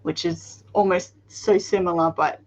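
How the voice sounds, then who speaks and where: A woman's voice: on the low side, fairly even intonation, measured pace, muffled recording, a little hiss or hum. A woman writer and podcast host chatting casually, giving short encouraging replies and talking about her own novel. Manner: brief, encouraging responses. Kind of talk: casual interview chat.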